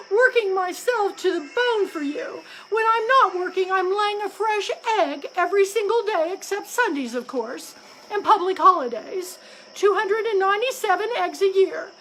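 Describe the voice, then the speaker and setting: A hen crow, put on by a woman reading aloud, frets and wails in a thin, lofty voice. Her complaints come out tearful and wounded, stretched slow for effect. She sounds like a storybook housewife at the end of her patience. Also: extremely high pitch, fairly bright tone, a little hiss or hum, voice pushed up, rough recording. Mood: tearful outrage. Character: fretful, dramatic female crow housewife.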